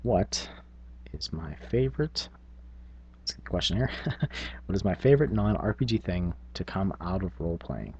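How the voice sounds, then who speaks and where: Deep, husky-voiced man chatting casually. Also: big pitch swings, moderate pace, long pauses, darkish tone, dull audio. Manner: informal, hesitant, thinking aloud. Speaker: YouTube channel host. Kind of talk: casual reflective vlog on tabletop gaming.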